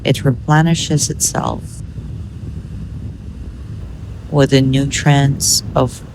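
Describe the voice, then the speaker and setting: Female meditation guide, very unhurried delivery, mid-range pitch, measured instructional phrasing, some background noise. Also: fairly even intonation. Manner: measured, instructional, with pauses between short phrases.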